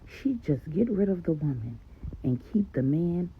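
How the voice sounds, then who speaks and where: A woman's voice: lowish voice, very animated, measured pace, narrow, tinny audio, a little hiss or hum. A woman voicing a distraught older mother in a told story, emphatic, pleading and emotional as she confesses. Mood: emphatic, regretful.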